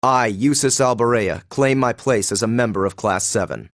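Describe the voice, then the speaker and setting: A man's voice: mid-range voice, moderate pace, a little hiss or hum, close to an everyday voice. An actor playing a haughty teenage male noble in an anime game dub, pompous, formal and coolly disdainful.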